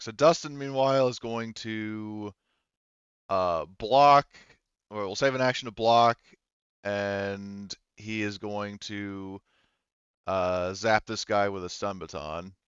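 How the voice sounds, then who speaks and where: Smooth low-voiced man, dull dry recording. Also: a bit bright, no room sound. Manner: casual, frequent swearing. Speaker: game master. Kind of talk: casual narration of a tabletop roleplaying game.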